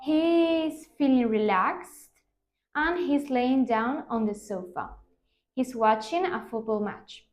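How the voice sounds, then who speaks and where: A woman in her twenties answers speaking-test questions carefully, with small hesitations. Her voice is high. The room adds a clear echo. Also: slow. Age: 20s. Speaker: student, exam candidate. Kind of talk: practice exam answers. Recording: echoey room.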